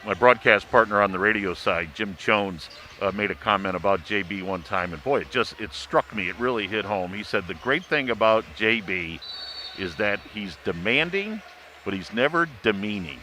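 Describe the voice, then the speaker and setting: Bright-voiced male sports broadcaster. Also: on the low side, animated, normal speed, slight background noise. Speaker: radio sports broadcaster on a panel. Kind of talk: casual sports broadcast chat.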